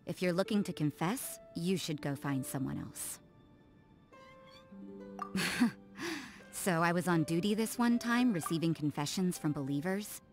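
A woman's voice: on the low side, lively intonation, unhurried, pauses often. A woman voicing a cool, world-weary young nun in an English video game, speaking in a dry, detached, languid way. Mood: aloof, wry. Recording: game music under the voice.

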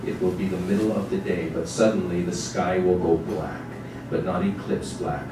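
Echoey, very low-voiced man reading dramatically. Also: steady tone, over music. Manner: measured, deliberate, literary delivery. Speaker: narrator in a staged dramatic reading. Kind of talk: dramatic scripted narration. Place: small theatre stage with seated audience. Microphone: distant camera microphone. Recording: echoey stage recording from far off, noisy.

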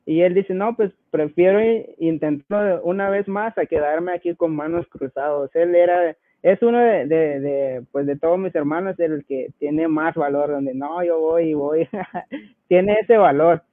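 A man's voice: a little high, a little slow, no echo, phone-quality sound. A man in his twenties with a Guatemalan accent, telling his personal story casually as an interview guest. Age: 20s.

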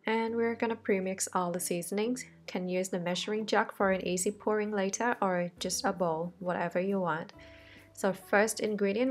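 A woman, the host of a cooking channel, talking through a recipe step by step in a friendly, reassuring voice-over. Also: medium pitch. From Thailand.

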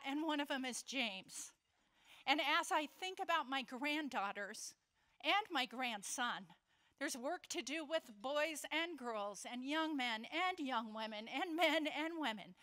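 An older woman giving a conference keynote speech, earnest and emphatic, slowing down to stress key points. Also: high voice, bright, forceful tone. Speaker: keynote speaker. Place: convention hall.

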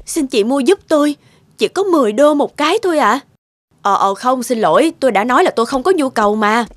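A woman's voice: sits high, expressive, fairly quick. A woman playing a struggling young mother and street peddler in a cartoon, exasperated and dramatic, weary yet defiant. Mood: pleading. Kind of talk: dubbed cartoon acting.

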